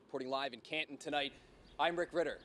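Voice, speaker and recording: A man's voice: on the high side, fast talker, fairly bright tone. A man reporting live for TV news as a field reporter, outdoors in a city.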